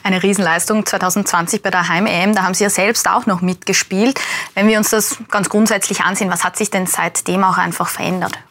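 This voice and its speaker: A woman's voice: mid-range voice, steady tone, a little fast, fairly bright tone. A woman conducting a broadcast news interview in a fluent, professional manner. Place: TV studio.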